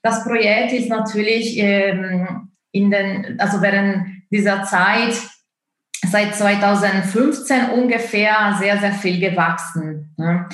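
A woman's voice: mid-range voice, slow pace, a bit bright, roomy sound, poor audio. A woman from Italy whose first language is Italian, speaking with an Italian accent as an interview guest, hesitant and full of fillers. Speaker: guest, dance course founder. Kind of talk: interview answers.

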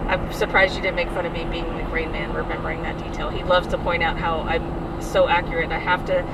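A chatty, self-mocking woman co-hosts a casual podcast from the seat of a moving pickup truck. She leans toward a cheap dash cam that hears her from a distance. Heavy road and engine rumble runs under everything she says. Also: level tone, fairly quick, creaky. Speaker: podcast co-host. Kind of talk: casual chat. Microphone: dash cam leaned into from the seat.

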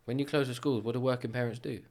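A man, a business owner chatting as a podcast guest and telling quick, animated stories with asides. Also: medium pitch. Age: 30s. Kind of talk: casual podcast chat.

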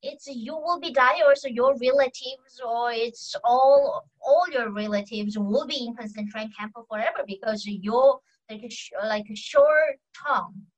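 A young woman with a Korean accent, speaking English as a second language, talking as a guest in an online conversation and often pausing to search for words. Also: on the high side, lo-fi sound. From North Korea. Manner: halting, searching for English words, many pauses. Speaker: guest, defector.

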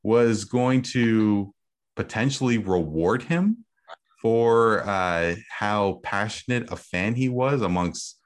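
A man's voice: on the low side, expressive, takes it slowly. A man hosting a casual sports talk show, rambling with many fillers and laughing easily. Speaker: host.

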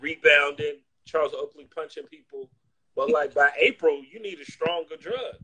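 A man in casual, comedic chat, talking in an animated, slangy, profane joking way. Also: a little high, moderate pace, poor audio.

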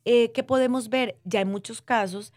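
A woman's voice: on the high side, moderate pace, darkish tone, no room sound. A woman speaking as a guest doctor, explaining conversationally in plain terms with diminutives and frequent check-in questions. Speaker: doctor interviewed as guest expert. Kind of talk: conversational medical explanation for lay listeners.

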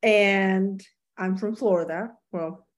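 A woman's voice: mid-range voice, steady tone, takes it slowly. A woman from Chile, a podcast guest chatting casually, laughing easily and dropping Spanish words into her English. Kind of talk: casual interview chat.

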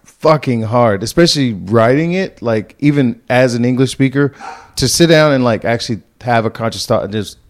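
A man's voice: mid-range voice, lively intonation, normal speed. A man chatting casually as a podcast co-host. His voice swings up and down, and he breaks into laughter often. His talk is loose and full of swearing. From Texas. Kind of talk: casual chat.

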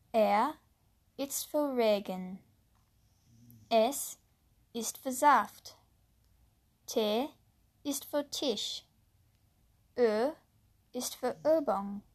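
A woman from the United States, a native English speaker, hosting a podcast and slowly reading a lesson in German with hesitant fillers. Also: a little high. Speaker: podcast host, German learner. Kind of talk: scripted language lesson podcast.

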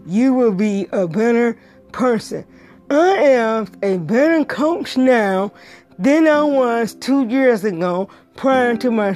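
Brief: middle-aged female life coach, raspy and breathy, unhurried, soft music underneath. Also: fairly high pitch. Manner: emphatic, repeats key words. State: dry throat after a stroke. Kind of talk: motivational pep talk.

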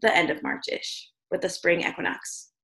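A woman's voice: on the low side, moderate pace, gravelly, pushed and bright. Sleepy-voiced woman podcast co-host. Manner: chatty, explains astrology with many fillers. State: sleepy, slightly raspy voice. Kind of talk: casual chat. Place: home, on a video call. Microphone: video call.

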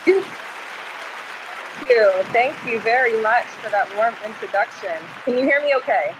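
A woman's voice: fairly high pitch, normal speed, a crowd in the background, noisy background. A woman in her thirties from Egypt, speaking politely as a guest on a radio interview. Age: 30s. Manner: polite and gracious. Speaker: guest, nonprofit president and CEO.

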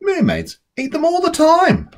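A woman's voice: very high voice, wildly swooping pitch, a little slow, a little mellow, voice pushed up, heavily acted. A woman voicing a clever, patient young boy, an older brother in a storybook, playfully persuasive. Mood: persuasive, sly. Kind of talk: storybook character voice.

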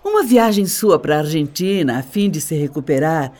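A woman's voice: low-pitched, lively intonation, fairly quick, darkish tone. A Brazilian actress playing a condescending middle-aged stepmother in a radio soap, with a theatrical, patronizing delivery. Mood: condescending, dramatic.

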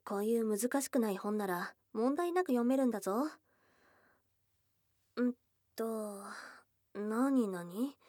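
A shy young goblin girl, played by a woman, speaks in a high voice at a slow, halting pace. She is tomboyish but smitten and easily flustered, murmuring softly in an ASMR drama. The sound is very dry and close to the microphone. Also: expressive, no room sound. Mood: cozy, curious. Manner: hesitant, bashful, halting, with soft murmurs. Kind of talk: intimate ASMR situation voice drama. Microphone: close studio microphone, dry.

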